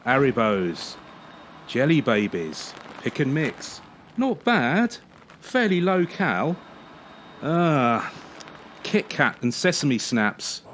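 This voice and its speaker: An actor playing a harsh former champion turned gymnastics coach in a radio play, scolding with sarcasm and pointed pauses. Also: medium pitch, slight background noise. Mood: mocking. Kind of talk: radio drama acting.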